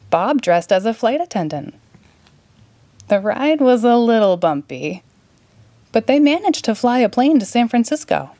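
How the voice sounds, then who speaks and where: A woman narrating a children's story in a clear, expressive read-aloud manner. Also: mid-range voice, dry recording. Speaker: storybook reader.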